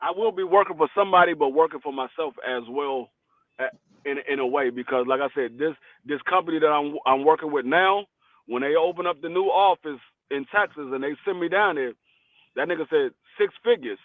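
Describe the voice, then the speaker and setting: A man in his forties chatting casually on a podcast, telling stories in blunt slang with animated energy. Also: on the high side, brisk, no echo. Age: 40s. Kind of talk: casual chat. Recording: tinny phone-line sound, dry.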